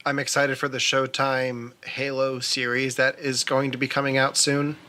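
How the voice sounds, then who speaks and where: A man's voice: medium pitch, flat delivery, moderate pace, sharp tone. A man hosting a podcast, chatting casually and with enthusiasm about movies and games. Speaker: podcast host.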